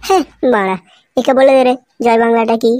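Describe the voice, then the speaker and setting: A man voicing a brash, quarrelsome cartoon buddy in slangy speech, with a pitched-up effect. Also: brisk, darkish tone, no echo. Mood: taunting. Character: foul-mouthed, quarrelsome young male cartoon buddy. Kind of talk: comedy cartoon dialogue. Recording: music bed under the dialogue. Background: music.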